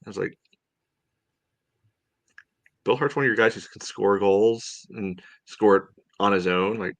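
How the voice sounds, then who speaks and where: Male podcast co-host, slightly low voice, dry close recording, halting casual match breakdown full of verbal tics. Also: no echo. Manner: halting, peppered with "you know". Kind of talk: casual soccer match analysis.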